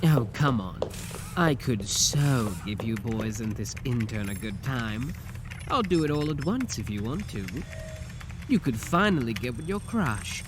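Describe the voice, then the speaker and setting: A man's voice: medium pitch, expressive, measured pace, lightly acted. Guy doing a shameless flirty tiny scientist clone, voice goes all over the place, teasing, theatrical, bit of noise behind it. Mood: playfully pleading. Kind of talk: ASMR audio roleplay acting.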